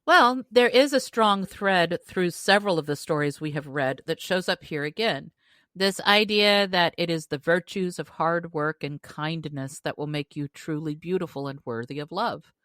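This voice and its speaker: A woman with a low voice talks casually as a podcast co-host. The recording is dry, with hardly any room sound around her. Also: moderate pace, fairly bright tone, no room sound. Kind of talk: casual book discussion.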